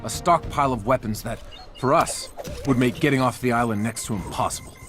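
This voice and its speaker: A man's voice: mid-range voice, a little fast, a bit bright, natural voice. Man playing a brooding vigilante archer over music. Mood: wry, grave. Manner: dramatic, wry storytelling. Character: young male vigilante archer, brooding, self-serious island survivor. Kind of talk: scripted video game narration.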